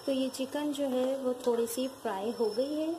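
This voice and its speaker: A woman with a speech hosting a home cooking tutorial, calmly walking viewers through each step in her kitchen. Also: sits high, moderate pace. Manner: calm, instructive, addresses viewers directly. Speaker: cooking channel host. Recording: noisy home recording with background ambience.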